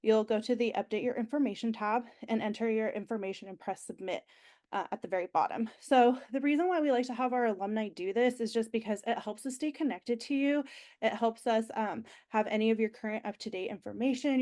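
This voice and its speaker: A woman's voice: fairly high pitch, fairly quick, darkish tone. A young woman with a nasal voice, recovering from being sick, giving an enthusiastic, chatty orientation presentation with frequent ums. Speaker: university alumni relations staff presenter.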